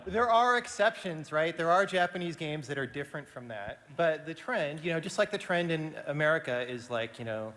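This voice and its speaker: A man's voice: fairly high pitch, lively intonation, moderate pace, few pauses, a bit bright. A man speaking critically and with firm opinions as a panelist in a live discussion. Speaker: panelist, game developer. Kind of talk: panel discussion in front of audience. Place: large indoor event hall. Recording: echoey hall, faint noise.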